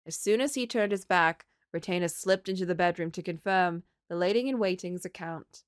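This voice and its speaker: A woman streamer reading a story game aloud, mixing expressive narration with casual asides. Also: fairly low pitch, normal speed, smooth, no room sound, clean studio sound.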